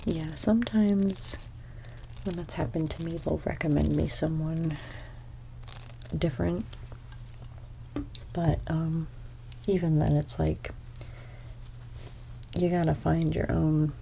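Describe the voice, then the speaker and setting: A young woman with a stuffy nose giving an ASMR haircut role play as a hairstylist. She speaks softly, often slips into whispers, and makes gentle salon small talk. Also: low-pitched, fairly even intonation, takes it slowly, vocal fry, long pauses, slight background noise, rough recording. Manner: soft-spoken, drifting into whispers.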